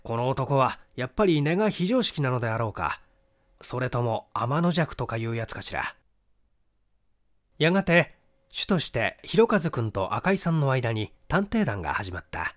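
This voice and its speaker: A middle-aged man reading a literary mystery story as an expressive, dramatic audiobook narrator. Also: mid-range voice, normal speed. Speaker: audiobook narrator, stage actor.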